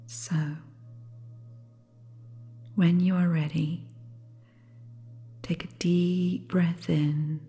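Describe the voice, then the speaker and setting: A woman guiding a sleep meditation in a soothing, gentle, unhurried way, with a soft, breathy, half-whispered voice. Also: on the low side, level tone, faint music behind. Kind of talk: guided sleep hypnosis meditation, scripted.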